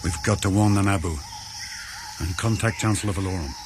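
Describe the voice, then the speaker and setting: Storybook role for a man: commanding older warrior master, decisive, lowish voice, clear background noise. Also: a little fast, close to an everyday voice. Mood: urgent. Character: calm, commanding older male Jedi master, firm and wise. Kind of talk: audio storybook film dialogue.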